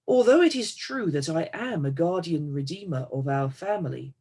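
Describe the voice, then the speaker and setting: A woman reading a Bible story aloud as measured narrative, in short, deliberate phrases. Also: deep voice, lively intonation, dark tone. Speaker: scripture reader.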